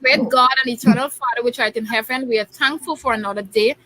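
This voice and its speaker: A woman's voice: on the high side, quick pace, bright, forceful tone, no echo, low-quality recording. A woman hosting a talk show, chatty and laughing often. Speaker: host.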